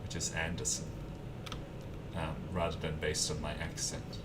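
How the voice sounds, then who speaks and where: A man with a mild German accent softened by an Australian twang talks slowly and easily in a low voice while he streams a building game. The mic sits some way off, and keyboard typing clatters clearly behind him. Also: monotone, far from the mic, heavy background noise. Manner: easygoing, chatty, answers viewer questions between tasks.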